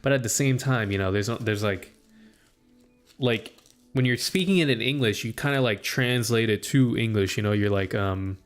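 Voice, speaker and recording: A man's voice: fairly low pitch, moderate pace, faint music behind. Rambling young man thinking aloud. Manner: meandering, full of "like" and restarts, thinks aloud. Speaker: student streamer. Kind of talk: casual rambling monologue.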